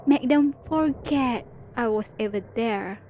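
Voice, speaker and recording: A woman's voice: fairly high pitch, takes it slowly, highs cut off, a little hiss or hum. A woman performing an ASMR roleplay as a teasing female villain talking to her rival hero. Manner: teasing, playful, confiding.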